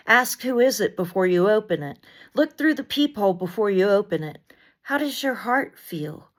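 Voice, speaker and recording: A woman reciting spoken-word poetry in deliberate short phrases with dramatic emphasis, as a poet reading another writer's work. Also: mid-range voice.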